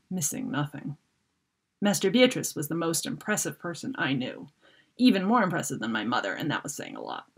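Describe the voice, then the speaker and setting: A middle-aged woman with a slightly low, husky voice reads a fantasy story for children. Her delivery is lively and dramatic, swinging widely in pitch as the narration moves along. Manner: animated, dramatic reader. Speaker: author reading from her children's fantasy book. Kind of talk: expressive storybook read-aloud. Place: book-lined room at home.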